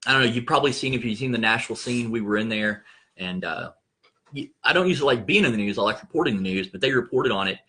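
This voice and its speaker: A man around forty, a local news reporter, chatting on a live show with dry wisecracks. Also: fairly low pitch. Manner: dry, wisecracking, relaxed storyteller. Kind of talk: casual live show chat.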